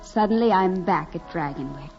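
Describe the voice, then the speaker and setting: A woman's voice: fairly low pitch, moderate pace, loud music behind the voice, close to an everyday voice. An actress playing a naive, eager young farm woman, earnest and wistful, in dramatic 1940s radio style. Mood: wistful remembering. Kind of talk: 1940s radio acting, dramatic first-person narration. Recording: muffled 1940s radio recording.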